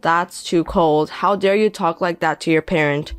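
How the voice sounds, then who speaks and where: A woman voicing a 57-year-old indebted deadbeat father who pleads and pities himself, speaking slowly. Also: fairly low pitch, fairly even intonation, smooth, no room sound, faint music behind, close to an everyday voice. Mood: wheedling. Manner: pleading, self-pitying, slow.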